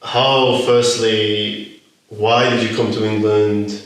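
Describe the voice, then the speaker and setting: A man's voice: lowish voice, level tone, slow pace, heavy reverb, far from the mic, poor audio. A man hosting a podcast, chatting loosely, laughing often and rambling through his questions. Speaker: podcast host. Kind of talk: casual interview.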